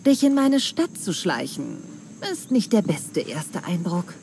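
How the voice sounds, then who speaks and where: A woman voicing a commanding, menacing pirate queen in a video game, speaking deliberately and with threat. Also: lowish voice, very animated, vocal fry, darkish tone, a little hiss or hum, exaggerated voice. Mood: wary, challenging. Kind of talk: video game cutscene dialogue. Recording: music behind the voice.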